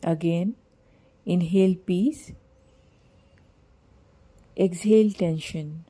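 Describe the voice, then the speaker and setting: A woman narrating a scripted guided meditation in a soothing, gently measured manner. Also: fairly low pitch.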